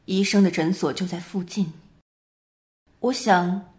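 A woman in an amateur radio drama plays a haunted dreamer, telling her story in a grave, detached and dramatic way. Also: lowish voice. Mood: numb, reflective. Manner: slow, hushed, dramatic delivery.

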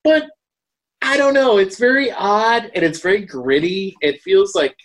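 A woman's voice: medium pitch, measured pace. Woman chatting casually about films. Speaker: podcast co-host. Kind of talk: casual film review chat.

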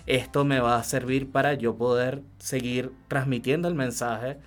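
A man's voice: mid-range voice, fairly even intonation, moderate pace. A man with a Venezuelan accent telling his own story conversationally as a radio guest, often adding short check-in tags. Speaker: interview guest, tarot reader and astrologer. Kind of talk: conversational personal account on radio.

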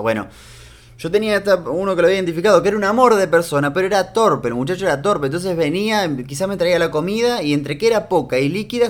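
An Argentine man telling a personal story in a casual, animated and humorous way, with frequent restarts. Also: on the high side. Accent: Argentine Spanish.

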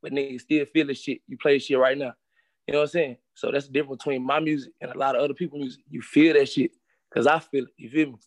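A man's voice: mid-range voice, little pitch movement, quick pace, dry recording. A young man in his twenties from Charlotte, a rapper guest talking in streetwise slang and constantly saying "you know what I'm saying". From Charlotte, North Carolina. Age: 20s. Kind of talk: casual interview.

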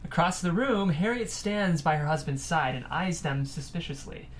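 A woman's voice: sits low, expressive, moderate pace, a little hiss or hum. A woman reading stage directions from a script as the narrator.

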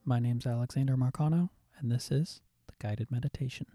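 A soft-spoken man leading a guided meditation with gentle, soothing, calm instructions. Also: medium pitch, fairly quick, no room sound, clean studio sound, faint music behind. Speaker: meditation guide, podcast host.

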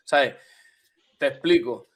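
A man's voice: mid-range voice, slow pace, fairly bright tone. A man hosting a casual talk, often stammering and repeating words. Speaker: podcast host. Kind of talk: casual chat.

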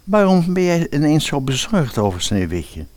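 A man's voice: on the high side, sing-song, moderate pace, a little mellow, a little hiss or hum. An actor playing an unconcerned, mildly sceptical father in a comic fairy-tale audio drama. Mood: unbothered.

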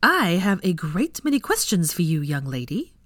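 A woman reading a story aloud, voicing a bossy young woman who questions her friend with mock severity and a raised, higher voice. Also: very animated, normal speed, dry recording, studio quality. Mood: mock-severe. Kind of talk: audiobook character voice.

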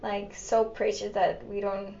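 A woman's voice: mid-range voice, monotone, a little slow, gravelly, mellow. A young woman with a Greek accent, hosting a casual solo podcast, rambling and upbeat with lots of fillers and giggles. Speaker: podcast host. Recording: echoey room, slightly dull lo-fi sound.

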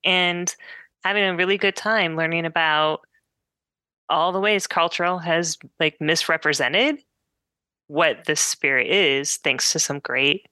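A woman's voice: low-pitched, unhurried, sharp tone, dry recording. A woman chatting casually as co-host of a podcast. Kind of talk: casual conversational podcast chat.

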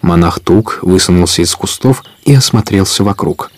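A man's voice: sits low, normal speed. A man narrating a story for a Russian audio play in a scripted storytelling style.

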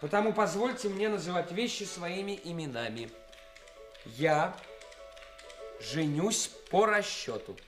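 Brash young stage-player type, cynical and declamatory, unhurried delivery, radio drama over music. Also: male voice, high voice, lively intonation. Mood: brazen, pompous. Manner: theatrical, declamatory, self-important. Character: young male actor posing as a brazen, cynical bridegroom. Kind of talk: radio play acting. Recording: radio play recording with music.

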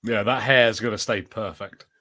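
A man's voice: lowish voice, steady tone, normal speed, bright, forceful tone. A man co-hosting a podcast in casual banter, with an easy, agreeable manner. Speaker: podcast co-host.

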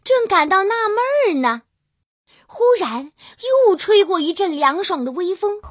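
A woman storyteller narrating a scripted fairy tale for young children in an expressive, drawn-out manner. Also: very high voice, no echo, studio quality. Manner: expressive, drawn-out storytelling with gentle sentence particles. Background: children's music.

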